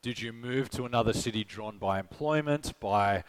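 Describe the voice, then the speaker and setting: A man's voice: medium pitch, normal speed, smooth. A man with an Australian accent giving a conversational conference talk, asking the audience questions and often checking in with "okay?" and "right?". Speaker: conference speaker. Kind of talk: live keynote presentation. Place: conference stage.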